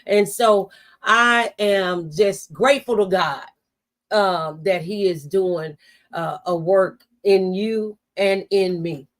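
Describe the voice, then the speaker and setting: A woman's voice: medium pitch, slow pace. A woman hosting a faith-based radio talk show, speaking in an encouraging, preacherly manner.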